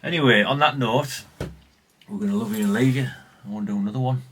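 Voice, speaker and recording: Deep, bright-voiced man. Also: fast, breathy edge. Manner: colloquial, chatty, frequent tag questions. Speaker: vlogger, radio collector. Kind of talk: casual rambling vlog chat.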